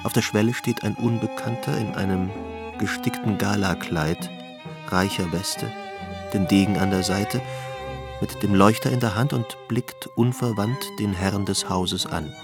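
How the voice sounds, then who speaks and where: A man narrating a German radio drama in a measured, deliberate, sombre literary style. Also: fairly low pitch, music in the background.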